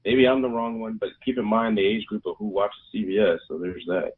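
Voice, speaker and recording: Male co-host, very deep and husky, blunt and sarcastic, heard over a tinny phone-like line. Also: steady tone, fairly quick. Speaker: podcast co-host. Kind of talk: casual movie podcast chat.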